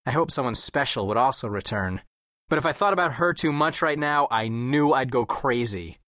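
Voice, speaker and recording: Animated teenage boy narrator, dry studio sound. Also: mid-range voice, normal speed, no echo. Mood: wry, intent. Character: observant, wry teenage boy telling his story. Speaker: first-person audiobook narrator. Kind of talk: scripted first-person story narration.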